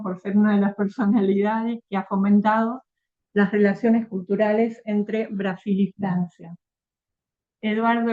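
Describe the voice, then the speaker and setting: A woman with a Rioplatense Spanish accent introducing a guest speaker, reading a bio with fillers and tag questions. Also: mid-range voice. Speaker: event host. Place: lecture hall. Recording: tinny phone-like recording.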